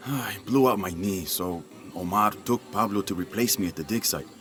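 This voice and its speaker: A man's voice: lowish voice, normal speed, fairly bright tone, faint music behind, close to an everyday voice. An actor playing an earnest, hesitant working-class father in a video game, wary and protective. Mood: worried. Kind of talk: video game cutscene acting.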